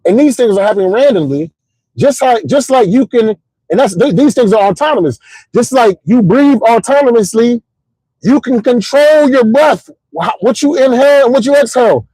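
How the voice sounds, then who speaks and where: A middle-aged man hosting a casual livestream, rambling in an animated, motivational way with lots of repeats and "you know what I'm saying". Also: extremely high pitch, normal speed, breathy edge, no room sound, poor audio. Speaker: host.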